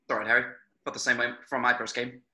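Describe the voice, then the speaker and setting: A man plays a frank teenage sports captain in a script read. He talks quickly in a very sharp, forceful voice. The delivery is reassuring and direct. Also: medium pitch, little pitch movement. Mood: brisk reassurance. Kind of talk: screenplay table read.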